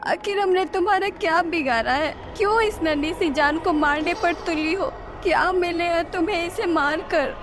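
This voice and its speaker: Woman playing a terrified, high-pitched pleading young mother. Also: moderate pace, hardly any pauses, music in the background. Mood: desperate pleading. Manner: tearful, desperate pleading. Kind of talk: Hindi horror storybook voice acting.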